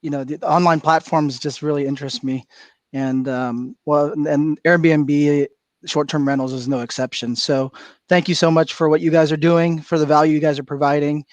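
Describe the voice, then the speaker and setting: A middle-aged man from Florida, a guest on a livestream, telling stories in an animated way and laughing often. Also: on the high side, moderate pace, no echo. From Florida, USA. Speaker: guest speaker. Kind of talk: casual livestream chat. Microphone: video call.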